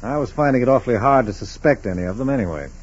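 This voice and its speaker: A steady federal investigator from a 1940s radio drama, husky and businesslike. His pitch moves freely as he lays out facts with clipped deliberation. The old recording is dull and muffled. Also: rapid-fire. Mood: reasoning. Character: steady, methodical male FBI special agent investigating a case.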